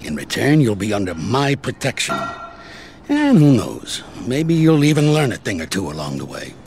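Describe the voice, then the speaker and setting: A commanding, gravelly older mob boss, blunt and dry, faint noise behind. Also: male voice, fairly low pitch, huge pitch swings, moderate pace, full character voice. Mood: calm.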